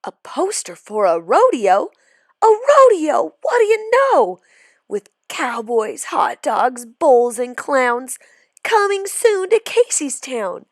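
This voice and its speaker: A small, greedy cowboy boy from a storybook, acted out by a woman. He is insistent and excitable, with pitch that leaps well above ordinary talk and swings widely. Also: slow pace, dry recording, clean studio sound, full character voice. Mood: thrilled. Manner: demanding, excitable.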